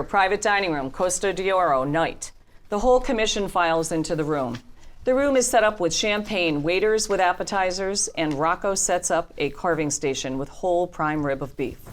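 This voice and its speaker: A woman reading out scene headings and stage directions as the narrator of a script table reading. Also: on the low side.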